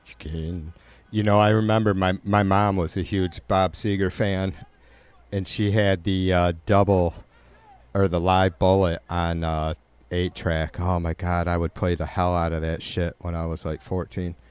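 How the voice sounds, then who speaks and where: A middle-aged man with a nasal, raspy voice, hosting a livestream and chatting loosely with viewers, rambling and swearing. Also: low voice, little pitch movement, measured pace. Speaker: livestream host. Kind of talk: casual chat with live viewers.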